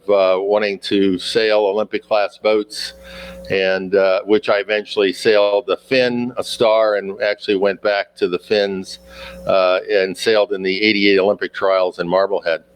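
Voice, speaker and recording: A man in his sixties answering interview questions in a halting way, with many fillers and repeated words. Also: on the low side, level tone, clear, resonant tone, low-quality recording. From United States. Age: 60s. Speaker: guest sailor.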